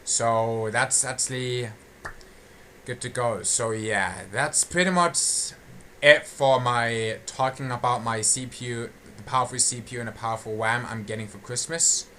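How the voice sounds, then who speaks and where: A man with a bright, forceful voice talks casually through an unscripted audio log. He halts and circles back, restating his phrases as he goes. There is faint noise in the recording. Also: medium pitch. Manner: halting, repetitive, often restating phrases. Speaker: channel host giving an update.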